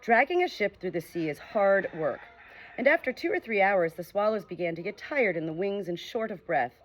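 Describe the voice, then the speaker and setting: A woman narrating a children's storybook as an audiobook, reading in a clear, steady way. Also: medium pitch, moderate pace, poor audio.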